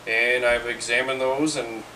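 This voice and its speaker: A man gives plain, matter-of-fact answers in a bright, forceful voice. He sounds distant from the mic. A faint hum runs underneath. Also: medium pitch, steady tone, unhurried. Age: 30s. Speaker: tech guy. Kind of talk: casual interview answers.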